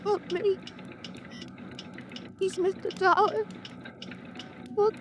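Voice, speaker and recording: An actress playing a troubled, guarded and politely grateful woman in old-time radio drama style, speaking haltingly. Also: very high-pitched, steady tone, exaggerated voice. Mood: pained, frightened. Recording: old radio recording, faint noise.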